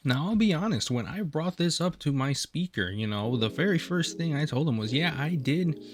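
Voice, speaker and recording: A man's voice: fairly high pitch, normal speed. Laid-back young man presenting a project. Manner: relaxed, self-deprecating asides. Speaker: student presenter. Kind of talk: casual class project voice-over. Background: faint guitar music.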